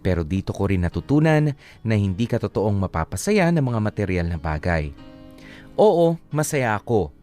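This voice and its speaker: Low-voiced Filipino man narrating a letter. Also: big pitch swings, moderate pace. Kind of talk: first-person dramatic radio narration. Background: soft guitar music.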